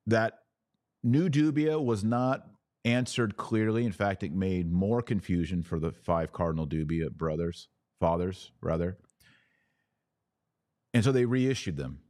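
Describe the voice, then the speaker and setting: A man's voice: deep voice, unhurried, darkish tone, no room sound, clean studio sound. A man hosting a religious commentary podcast, explaining things emphatically and didactically, often posing questions and answering them himself. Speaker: podcast host.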